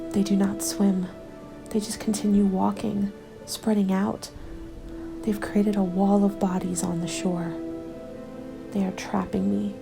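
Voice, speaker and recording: A woman narrating a scripted first-person ASMR story in a soft, measured, often whispery delivery. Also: mid-range voice, vocal fry. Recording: background music under the voice. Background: music.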